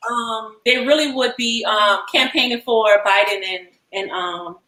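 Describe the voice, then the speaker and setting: Relaxed New woman, bright voice. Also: a little high, measured pace. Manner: relaxed, hesitant with fillers. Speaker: panelist. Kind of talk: casual discussion. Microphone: video call. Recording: echoey room.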